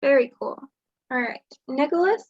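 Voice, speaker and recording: A woman's voice: high voice, expressive, moderate pace. A woman hosting casual introductions on a group call. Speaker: meeting host. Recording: muffled video-call audio.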